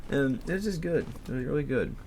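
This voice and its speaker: A man's voice: mid-range voice, expressive, fairly quick, a little mellow, slight background noise. A young man with a congested voice, rambling casually as a podcast host, swearing freely and laughing often.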